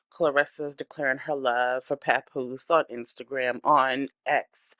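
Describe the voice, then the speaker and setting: A woman's voice: a bass voice, a bit bright, no room sound. A woman hosting a celebrity gossip channel, speaking in a blunt, opinionated, chatty way while congested from a cold and breathing hard. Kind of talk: casual celebrity gossip commentary.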